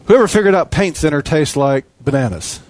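Emphatic male preacher, fairly high. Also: lively intonation, brisk, a little mellow, dry recording. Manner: emphatic, repetitive preaching cadence. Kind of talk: sermon.

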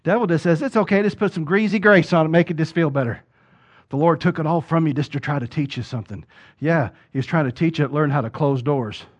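A man preaching a sermon, building from steady talk to loud, emphatic peaks. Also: fairly high pitch, fast talker. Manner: rises to loud emphatic preaching peaks, acts out quoted voices. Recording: dull lo-fi sound.

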